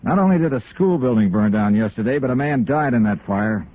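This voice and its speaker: A man's voice: mid-range voice, animated, a little fast, close to an everyday voice. An American man acting a tough, persistent insurance investigator in a 1950s radio drama, with a clipped, insistent delivery. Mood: firm, insistent. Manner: clipped, insistent, hard-boiled. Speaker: actor in the lead, also narrating. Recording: tinny, noisy old radio recording.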